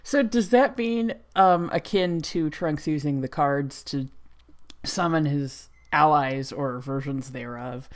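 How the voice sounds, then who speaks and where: A woman giving casual reaction commentary, thinking aloud in a halting way. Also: low-pitched. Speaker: reaction video host.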